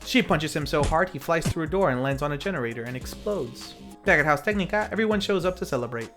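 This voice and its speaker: Higher-pitched man narrating tongue-in-cheek over music. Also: lively intonation, quick pace. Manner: tongue-in-cheek, playfully self-mocking. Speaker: host and narrator of a retro lucha libre film review series. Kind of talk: scripted film recap narration with commentary.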